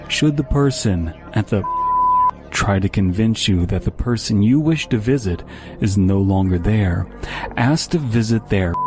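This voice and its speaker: Man, horror narrator, low voice, very slow pace, solemn, deliberate, loud music behind. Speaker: story narrator. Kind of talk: scripted horror story narration.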